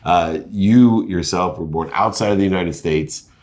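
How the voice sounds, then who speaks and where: Brief: middle-aged male host, very low voice, upbeat and eager, conversational. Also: measured pace. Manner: energetic, enthusiastic, uses "you know" often. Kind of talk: podcast interview host.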